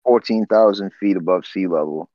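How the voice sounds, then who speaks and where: Podcast guest, man from Nepal, deep voice, easygoing, laughs as he talks, dry lo-fi recording. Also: moderate pace, dark tone, no echo. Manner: laid-back, laughs often, trails off with "uh" and "you know". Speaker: guest, swimbait builder. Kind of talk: casual storytelling interview.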